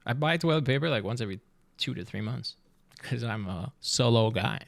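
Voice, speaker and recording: A man in his thirties chatting casually on a live stream, rambling and joking as he reacts to a video, his voice swinging between loud and soft. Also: medium pitch, lively intonation, brisk, gravelly.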